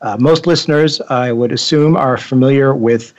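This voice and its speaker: A man's voice: medium pitch, moderate pace, darkish tone, slight background noise. Slightly muffled male radio news correspondent. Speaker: magazine editor, guest news correspondent. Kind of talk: conversational radio news commentary. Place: radio studio.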